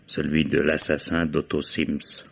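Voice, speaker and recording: Deep-voiced, shrewd police commissioner. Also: male voice, monotone, creaky, fairly bright tone, slightly rough, heavily acted. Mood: reflective, explaining. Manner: deliberate questioning with musing interjections. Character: weary, shrewd male police commissioner, commanding. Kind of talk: vintage French radio mystery acting. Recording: dull vintage radio drama recording.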